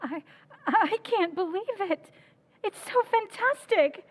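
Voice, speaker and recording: A woman's voice: very high-pitched, animated, slow pace, dry recording, exaggerated voice. Sally, a woman playing a stunned young wife. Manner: hesitant, unbelieving. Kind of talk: 1940s radio drama recreation.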